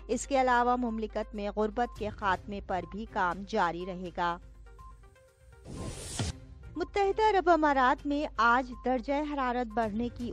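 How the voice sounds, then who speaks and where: High-pitched woman reading the news. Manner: formal, declarative newsreading. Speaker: news presenter. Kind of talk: scripted news bulletin narration in Urdu register.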